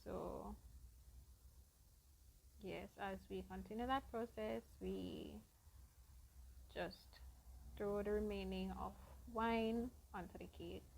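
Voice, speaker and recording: A woman hosting a casual cooking vlog, explaining each baking step to her viewers in an unhurried way. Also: mid-range voice, fairly even intonation, gravelly, slight background noise, poor audio. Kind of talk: casual baking demonstration narration.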